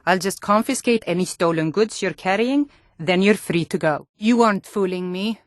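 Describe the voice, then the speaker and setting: A woman's voice: mid-range voice, normal speed, no echo, studio quality, close to an everyday voice. An actress voicing a tough Nord guard and bandit woman in a fantasy video game, stern, threatening and taunting. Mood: stern, grudging. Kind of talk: video game character voice lines.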